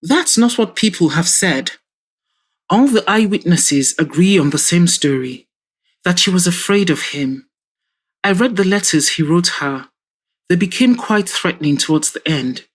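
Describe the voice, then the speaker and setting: A woman voicing a patient grown daughter who reasons calmly and firmly with her father. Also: lowish voice, measured pace, breathy edge. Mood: coaxing. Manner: calm, coaxing, reasoning. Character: grown daughter, patient and firm, fashion designer.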